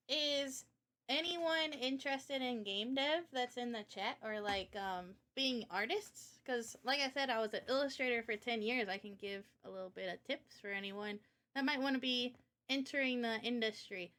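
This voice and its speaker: Bright, higher-pitched woman chatting casually. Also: moderate pace. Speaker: guest illustrator and game developer. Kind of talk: casual livestream chat.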